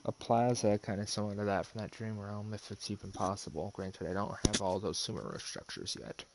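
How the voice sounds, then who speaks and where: A man's voice: fairly low pitch, animated, brisk, gravelly, background noise. A man doing casual live commentary as a game streamer, sometimes reading dialogue aloud. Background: game music.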